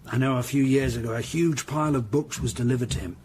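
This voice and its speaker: A man's voice: medium pitch, fast, a little mellow, a little hiss or hum, lightly acted. An actor playing an anxious, hesitant Tudor master tradesman giving reluctant, deferential witness in a period radio drama. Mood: deferential, confiding. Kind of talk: period audio drama acting.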